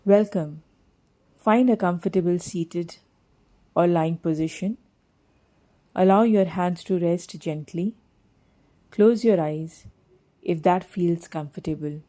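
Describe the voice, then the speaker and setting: A woman leads a guided meditation in a soft, mellow voice on the lower side. She speaks very slowly and soothingly, reading scripted lines with gentle, measured phrasing.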